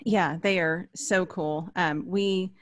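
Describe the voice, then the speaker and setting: A woman's voice: on the low side, steady tone, takes it slowly, dry recording. A middle-aged woman hosting an interview in a chatty, storytelling way, recorded close on a studio microphone. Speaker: podcast host. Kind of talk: conversational interview introduction. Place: small indoor home studio. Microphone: large studio microphone up close.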